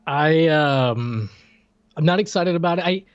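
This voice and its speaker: A man's voice: a little high, a little slow, smooth, no room sound. A man co-hosting a casual movie podcast, joking around and trailing off mid-sentence. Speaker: podcast co-host. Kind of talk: casual chat about movies. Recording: dry, dull lo-fi mic.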